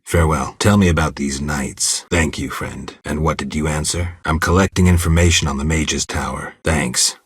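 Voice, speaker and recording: Casting: stoic, not quite human game monster hunter; very deep bass, husky gravel, laconic deadpan lines. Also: male voice, moderate pace, few pauses, no room sound, studio quality, full character voice. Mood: calm, laconic. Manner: terse, deadpan, guarded. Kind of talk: video game dialogue acting.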